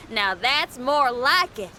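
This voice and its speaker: A spirited young rocker girl from an anime game, with a high, bright voice and animated pitch. The delivery is gutsy, loyal and folksy. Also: a little hiss or hum, exaggerated voice. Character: spirited young female rock musician, upbeat and loyal.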